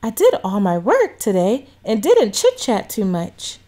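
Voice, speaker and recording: A woman's voice: medium pitch, huge pitch swings, a little slow, dark tone. A woman voicing an earnest, innocent little girl in a children's storybook read-aloud. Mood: anxious. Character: earnest, curious little girl, worried but trusting.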